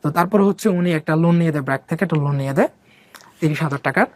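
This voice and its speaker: A man's voice: on the high side, rapid-fire, a little mellow, no room sound. A young man from Bangladesh telling his own life story in a casual, rambling way, with many fillers and English words mixed in.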